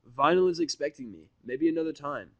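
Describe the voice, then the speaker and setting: A man's voice: fairly high pitch, animated, brisk, no room sound, dull audio, pitched above the performer's own voice. A man playing a sarcastic, defiant and foul-mouthed male hero of a story. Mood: evasive.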